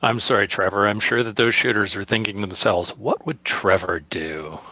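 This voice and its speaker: A man's voice: lowish voice, normal speed, bright, forceful tone, dry recording. A man chatting casually as a podcast co-host, responding and asking questions.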